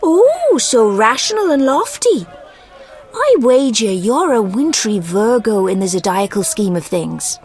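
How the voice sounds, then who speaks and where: A flirtatious lady gambler from a fantasy video game. Her voice is high and very lively, rising and falling widely. She is florid, superstitious and dramatic in the way she talks. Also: unhurried. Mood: flirtatious, teasing.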